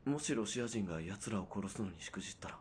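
A man's voice: fairly low pitch, lively intonation, quick pace, a little mellow, slight background noise, natural voice. A man playing a principled, dutiful military police sergeant in a period radio drama, speaking formally, with earnest restraint. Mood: uneasy, restrained.

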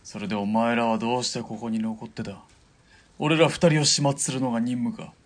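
An actor playing a hot-tempered, mocking killer and ex-gang boss in an audio drama, with rough slangy speech. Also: male voice, mid-range voice, steady tone, brisk, close to an everyday voice. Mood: cool, mocking.

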